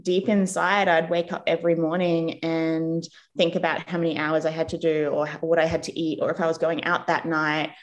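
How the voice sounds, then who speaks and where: A woman with a mixed Australian and New Zealand accent, telling her personal story as a podcast guest, earnest and animated. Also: sits low, a little fast, few pauses, highs cut off. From England, raised in Australia. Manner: earnest and animated, acts out quoted people.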